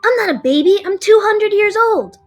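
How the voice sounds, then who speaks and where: A woman's voice: very high-pitched, lively intonation, moderate pace, soft background music. A woman voicing a childish 200-year-old young dragon with a baby voice, cheerful about eating her guests, in a fan audio drama. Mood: playful.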